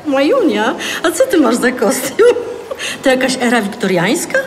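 An actress playing a breezy, evasive friend around forty, chatty, coaxing and teasing, in naturalistic audio drama. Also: female voice, high voice, big pitch swings, moderate pace, a little hiss or hum. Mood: playful. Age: middle-aged.

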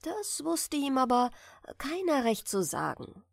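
A woman gently narrating a bedtime fairy tale in a soft, soothing manner. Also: high-pitched, big pitch swings, a little slow, gravelly, no echo, studio quality. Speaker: audiobook reader.

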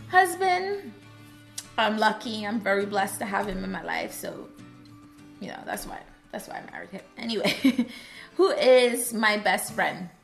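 A woman's voice: medium pitch, gravelly. A young woman from Trinidad with a Trinidadian accent, talking casually and expressively to the camera in a vlog. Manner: animated, expressive, gestures while talking. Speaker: vlogger.

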